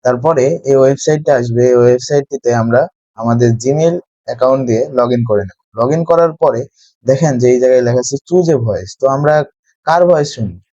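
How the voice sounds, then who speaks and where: A man's voice: mid-range voice, a little fast, warm tone, no echo, lo-fi sound. A man narrating a step-by-step screen tutorial as its host.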